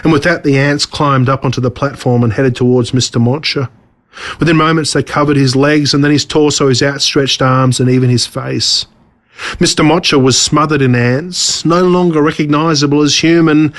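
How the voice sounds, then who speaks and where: A man with an Australian accent reading his own story aloud as a scripted narration, measured and dramatic. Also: a little high, fairly even intonation.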